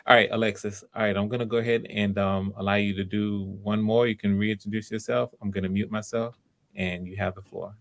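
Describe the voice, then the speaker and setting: A man hosts a casual online livestream in a low voice with heavy fry. He is informal and encouraging, improvising with frequent hesitations. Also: level tone, fairly quick. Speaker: audition host.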